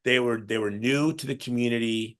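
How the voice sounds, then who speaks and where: Guest voice: middle-aged man from the Chicago suburbs, animated, rambling storyteller with lots of self-corrections. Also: mid-range voice, fairly quick. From Chicago south suburbs. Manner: animated, loose storytelling with frequent restarts. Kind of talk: casual conversation.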